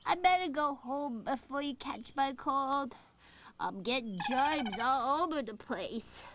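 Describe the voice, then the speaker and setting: A woman voicing a gentle female moose with a cold in a cartoon. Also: high voice, animated, takes it slowly, background noise, exaggerated voice. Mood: gentle, sickly. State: stuffy from a cold. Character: young female moose friend, sick with a cold.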